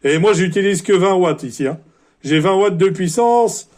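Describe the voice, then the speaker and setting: A man's voice: high-pitched, animated, normal speed, a little mellow. A man speaks as a CB radio operator, courteous and orderly, in the set phrases of a radio contact. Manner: polite, orderly, formulaic sign-offs.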